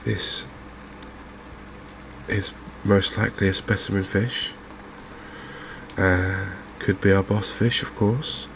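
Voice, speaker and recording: A man giving softly spoken, ASMR-style commentary as host of a gaming channel, in a halting, understated way. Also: lowish voice, fairly even intonation, gravelly, slight background noise, poor audio.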